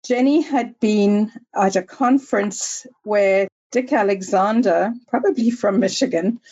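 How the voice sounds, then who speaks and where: A woman in her sixties with a Zimbabwean accent, a researcher answering interview questions in a measured, storytelling way. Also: medium pitch, a little mellow, muffled recording. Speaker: guest researcher.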